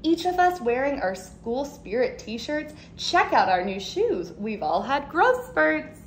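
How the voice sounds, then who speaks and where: A woman in her thirties reads a children's picture book aloud like a schoolteacher. Her voice sits fairly high and swings widely in expressive, animated phrases. She is recorded at home with noticeable background noise. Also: slow pace. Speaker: second grade teacher. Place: living room at home, indoors.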